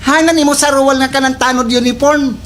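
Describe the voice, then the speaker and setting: A woman's voice: high-pitched, fairly even intonation, quick pace, natural voice. A woman playing a mocking, teasing village gossip in a slapstick radio drama. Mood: amused jeering. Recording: noisy recording with clicks.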